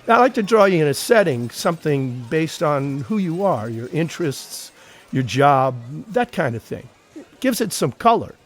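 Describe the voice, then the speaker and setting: A man's voice: fairly high pitch, very animated, normal speed, slight background noise. A man acting in an audio drama as a breezy, broke beach sketch artist with wry, casual banter. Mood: breezy salesman patter. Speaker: actor.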